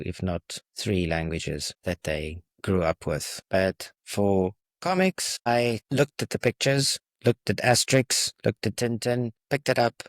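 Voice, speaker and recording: South African man with a low voice. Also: no echo. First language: Afrikaans. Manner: repeats words when starting sentences. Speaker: podcast guest. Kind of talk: casual conversation.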